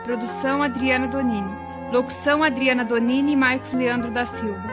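High-voiced Brazilian woman narrating, slightly muffled. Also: steady tone, brisk, over music. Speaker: radio documentary narrator. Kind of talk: scripted narration.

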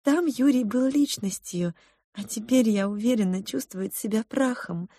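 A fretful, melodramatic royal cook voiced by a woman lets her words trail off in hushed, drawn-out sighs. The delivery is unhurried, and the sound is dry and close to the mic. It reads like a character in a storybook. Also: a little high, no echo, voice pushed up. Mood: sighing, hushed.

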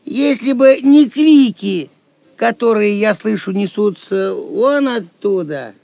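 Cheeky medieval fool: very high creaky voice, drawling, clownish, for audio drama. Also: male voice, animated, slow pace, a little mellow, exaggerated voice. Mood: sly, wry. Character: cheeky, superstitious court jester, sly and mocking. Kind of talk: theatrical audio drama acting.

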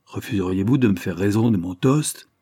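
A man's voice: on the low side, fairly quick, mellow, husky, no room sound, studio quality. A man voicing a ferocious revolutionary official in an audiobook, ironic, contemptuous and declamatory. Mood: threatening.

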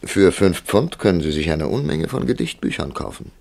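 A wry, worldly man of letters narrates a literary radio play. His deep voice is creaky and moves widely in pitch, with measured, dramatic phrasing. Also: big pitch swings, darkish tone, no room sound, heavily acted. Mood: dryly persuasive. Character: older established male writer, wry, worldly narrator of his tale. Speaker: narrator and lead character.

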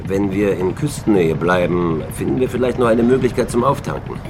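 A man's voice: on the low side, fairly quick, background noise, close to an everyday voice. A man playing a hard-bitten, practical survivor with a blunt, dry manner in a German radio drama.

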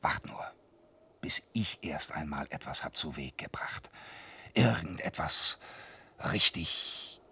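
An actor playing a young peasant rascal, a boastful, dreamy son who coaxes and brags in a radio drama in verse. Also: male voice, rumbling low, animated, slow, sharp tone, breathy edge, heavily acted. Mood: tender, coaxing. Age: young adult. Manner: swings from coaxing murmur to exuberant boasting.

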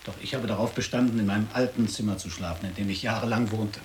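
A middle-aged man playing a nostalgic former boarding-school pupil in a radio drama, speaking in a reflective, deliberate, literary way. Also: lowish voice, steady tone, a little hiss or hum, lightly acted. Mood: reminiscing.

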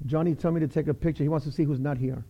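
Gravelly, high-pitched New York preacher. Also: male voice, steady tone, very fast, muffled recording, slight background noise. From the Bronx, New York. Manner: chatty, joking, many fillers and restarts. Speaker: guest pastor. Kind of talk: casual sermon.

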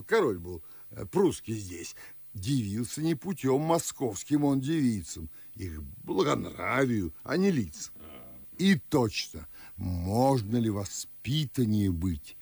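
Husky, pompous old Moscow nobleman, swooping pitch. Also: darkish tone, no echo, heavily acted. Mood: gushing. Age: older. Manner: declamatory, theatrical, old-fashioned. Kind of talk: radio play acting in verse.

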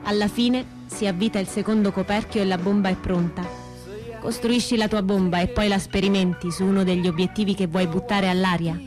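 A woman's voice: medium pitch, steady tone, fairly quick, close to an everyday voice. Resolute young woman militant over music, acted by a woman. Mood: matter-of-fact instructing. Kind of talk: theatrical monologue for radio theatre. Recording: music under the voice.

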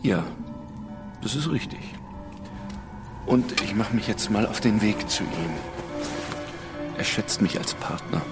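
A man's voice: on the low side, expressive, slow pace, long pauses, music in the background. An actor playing a smug, menacing corrupt policeman with mocking politeness in a German radio drama.

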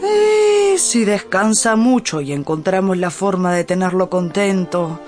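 A woman's voice: mid-range voice, lively intonation, measured pace, a little mellow, soft background music, close to an everyday voice. An actress playing a worried, caring woman of the household in a radio drama, sighing and hesitant.

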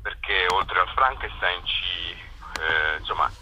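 A man's voice: low-pitched, lively intonation, slow, sharp tone, highs cut off, a little hiss or hum. A man speaking informally in a radio interview over the phone, searching for his words as he explains. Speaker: festival co-director being interviewed.

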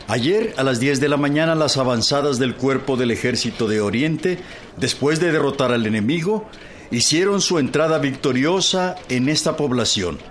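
A man with a Mexican accent formally reading old newspaper reports in a radio docudrama. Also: medium pitch. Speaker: newspaper reader. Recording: clear background noise.

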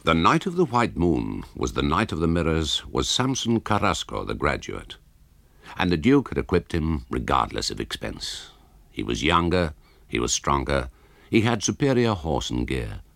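Embittered old author, radio drama narrator, very deep creaky voice, wry and grand, swooping delivery. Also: male voice, very animated, moderate pace, a bit bright, no echo, heavily acted. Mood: wry storytelling. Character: embittered ailing old author narrating his own tale.

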